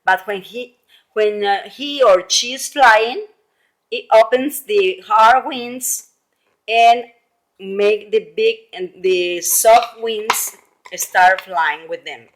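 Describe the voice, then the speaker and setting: Female primary teacher, medium pitch, slow delivery. Children's read-aloud lesson, expressive and repetitive, addressing young listeners directly. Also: low-quality recording. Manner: animated storytelling with exclamations and frequent questions to listeners; simple explanations with frequent repetition.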